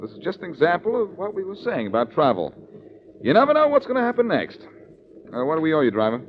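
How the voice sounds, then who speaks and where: An actor playing a wisecracking, unflappable amateur detective in a glib, sardonic 1940s radio drama style. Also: male voice, a little high, huge pitch swings, fast talker, slight background noise. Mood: breezy. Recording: dull, lo-fi old radio recording.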